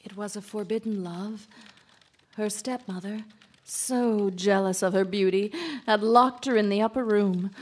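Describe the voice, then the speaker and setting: A woman's voice: mid-range voice, fairly even intonation, measured pace, darkish tone, lightly acted. A woman acting in a live radio drama as a domineering, self-absorbed wife and writer, haughty and melodramatic.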